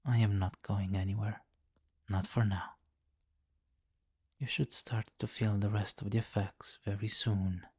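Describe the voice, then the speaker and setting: A man playing a possessive, teasing vampire king in an intimate ASMR roleplay, commanding and breathy, often slipping into whispers on a close mic. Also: lowish voice, little pitch movement, unhurried, pauses often, darkish tone, no echo. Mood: tender, possessive. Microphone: close microphone, dry.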